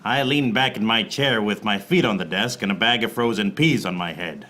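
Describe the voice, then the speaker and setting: An actor playing a wry, beleaguered private eye, narrating a noir radio play in a deadpan manner. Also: male voice, lowish voice, moderate pace, music in the background, lightly acted. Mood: wry, exasperated. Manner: deadpan, fourth-wall-breaking.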